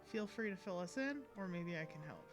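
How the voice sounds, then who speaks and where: A woman's voice: fairly low pitch, lively intonation, fairly quick. A woman game streamer giving casual live commentary as she plays. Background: game music.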